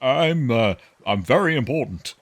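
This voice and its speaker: Guy acting as a jittery rich man on the run, stuffy and stammery, pitch all over, sci-fi audio drama. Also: lively intonation, unhurried. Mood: nervous, bargaining. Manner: stammering, formal, bargaining. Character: nervous self-important wealthy male fugitive pleading for his life.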